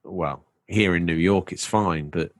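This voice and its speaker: Low-voiced man musing on a podcast. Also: a little slow, dry recording, studio quality. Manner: wry, asks lots of rhetorical questions. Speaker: podcast co-host. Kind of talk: casual chat.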